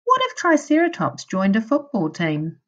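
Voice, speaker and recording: A woman teacher reading a children's storybook aloud in a deliberate way, addressing young children. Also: fairly high pitch, huge pitch swings, fairly bright tone, lo-fi sound. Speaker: primary school teacher.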